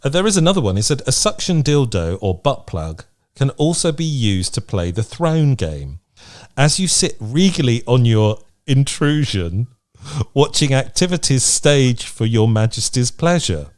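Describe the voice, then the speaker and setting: A man's voice: mid-range voice, sing-song, unhurried, darkish tone. A British man co-hosting a podcast, chatting casually and reading out lists in a frank, playful way. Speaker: podcast co-host. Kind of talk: casual chat, reading out from a list.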